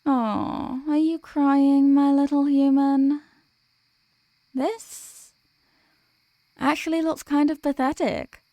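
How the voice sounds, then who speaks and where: High-voiced female demon in intimate ASMR roleplay, acted by a woman. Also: steady tone, slow pace, pauses often, mellow, dry recording. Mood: teasing. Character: teasing sleep paralysis demon, menacing then apologetic and tender. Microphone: close microphone, dry.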